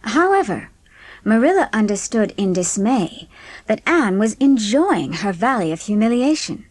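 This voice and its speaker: A woman's voice: medium pitch, sing-song, measured pace, a little mellow, no echo. A woman reading scripted narration for a dramatised classic audiobook.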